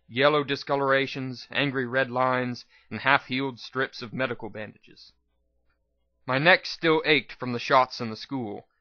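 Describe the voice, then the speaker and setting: A man's voice: medium pitch, dry recording, highs cut off. A man reading a first-person story aloud as its narrator, in a dramatic reading style. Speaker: narrator, reader.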